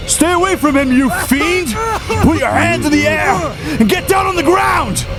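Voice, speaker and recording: A frantic policeman yells commands in a very high, strained shout. It is full-on audio drama. Loud music fills the background. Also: expressive, moderate pace. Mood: frantic, commanding.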